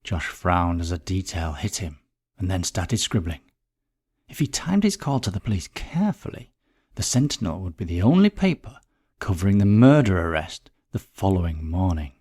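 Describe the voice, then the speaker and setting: A man's voice: low voice, big pitch swings, normal speed, a little mellow, husky. A man reading a scripted murder mystery story as its narrator.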